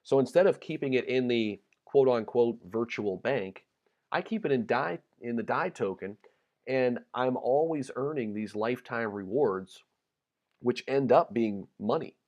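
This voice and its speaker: A man's voice: medium pitch, measured pace, lo-fi sound. A man from the United States hosting a casual crypto livestream, reading viewer comments with lots of ums and repeats, his voice a little worn. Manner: relaxed and chatty, many ums and repeated words. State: slightly losing his voice.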